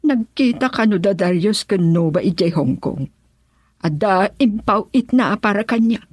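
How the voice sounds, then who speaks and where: An elderly village mother in a radio drama. She is husky and close in a dry studio, stammering and plaintive, grateful and easily embarrassed. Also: mid-range voice, expressive, measured pace, darkish tone, dry recording. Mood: apologetic, grateful. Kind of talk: Ilocano radio drama acting.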